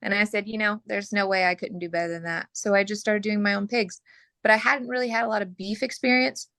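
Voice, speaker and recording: A woman from Oklahoma, a butcher, talking frankly as a podcast guest while losing her voice and clearing her throat. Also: mid-range voice, steady tone, fairly quick, a bit bright, dry recording. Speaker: butcher and mobile processor owner.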